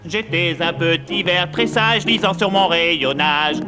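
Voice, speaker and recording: A man's voice: high voice, a little slow. A man voicing a cheerful, bookish little adventurer worm in a cartoon theme song. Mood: cheerful, singing. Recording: loud music over the voice.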